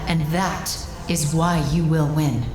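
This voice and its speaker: An actress voicing a wise, commanding guide in a video game, measured and grave. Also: female voice, low voice, level tone. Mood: solemn, calm. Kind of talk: dramatic video game acting. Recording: echoey, music behind.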